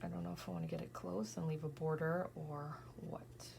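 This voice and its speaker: A woman in her thirties talks quietly through her scrapbooking, her low voice worn and heavily gravelly from an early morning. She muses to herself and slips into whispers now and then. A steady hiss sits under the recording. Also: a little fast. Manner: soft-spoken, thinking aloud, often drifting into whispers. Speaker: scrapbooking crafter. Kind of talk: casual crafting vlog narration.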